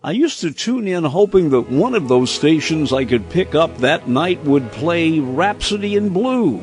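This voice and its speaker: An older American man reading a scripted, nostalgic personal commentary in a deliberate, expressive storytelling manner. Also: fairly high pitch, music in the background. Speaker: radio commentator.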